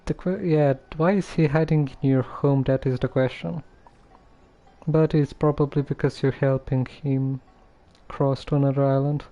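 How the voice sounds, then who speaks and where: A man's voice: medium pitch, steady tone, moderate pace, dark tone, dull audio. A man doing casual live game commentary, thinking aloud in a hesitant, musing way. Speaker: game streamer.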